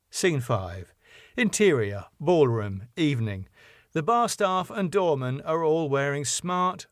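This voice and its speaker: A man narrating the stage directions of a sitcom script in a plain, measured way. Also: mid-range voice, dry recording, clean studio sound.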